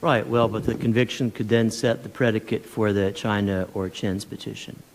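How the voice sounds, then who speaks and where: A man testifying at a legislative hearing, speaking in a measured, persuasive way with small restarts. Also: lowish voice, fairly even intonation, slight background noise. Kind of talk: formal testimony. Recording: long reverb of a large hall.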